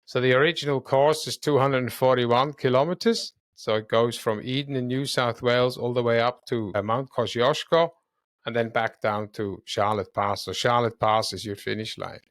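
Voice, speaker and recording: A man's voice: medium pitch, fairly even intonation, normal speed, dry recording, clean studio sound. A man from Switzerland and South Africa, a running coach and podcast guest, talking in an upbeat way and explaining at length with restarts. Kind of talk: casual interview chat.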